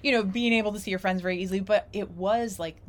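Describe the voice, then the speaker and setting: A woman in casual, reflective conversation, telling stories in an animated way and acting out imagined quotes. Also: mid-range voice, quick pace, slight background noise.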